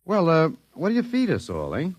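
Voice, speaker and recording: An actor playing a bewildered, wryly resigned professor, halting and muttering, in a 1950s radio drama. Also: male voice, a little high, huge pitch swings, no echo. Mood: wry, subdued. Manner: halting, muttering, dryly wry. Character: bewildered, wry anthropology professor, last man on Earth.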